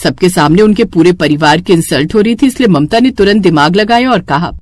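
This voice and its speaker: Animated female storyteller. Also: fairly low pitch, brisk. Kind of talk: scripted moral story narration.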